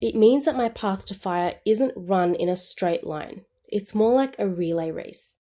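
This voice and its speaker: A woman's voice: medium pitch, measured pace, warm tone. A woman in her twenties hosting a solo podcast, explaining things in an upbeat, friendly way. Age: 20s. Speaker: podcast host. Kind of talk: scripted solo podcast on personal finance.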